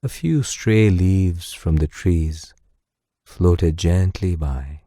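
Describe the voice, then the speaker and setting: Very dark, mellow man narrating sleep meditation. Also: deep voice, dry recording, clean studio sound. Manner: soothing, gentle, unhurried. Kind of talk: slow guided meditation and bedtime story narration. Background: soft music.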